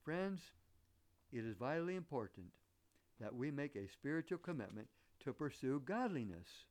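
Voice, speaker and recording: A man from the northern United States with an acquired Southern American accent, preaching a sermon in an earnest, deliberate manner. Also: mid-range voice, big pitch swings, a little mellow. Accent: American, picked up Southern. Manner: earnest, deliberate, rhetorical questions. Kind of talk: sermon with illustrative anecdotes.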